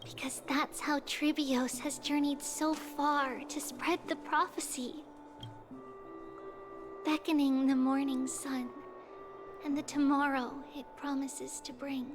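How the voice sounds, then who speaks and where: Solemn little girl prophet for a game, high voice, slow and gentle, loud music under it. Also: level tone. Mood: solemn, hopeful. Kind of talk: scripted game cutscene dialogue. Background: orchestral music.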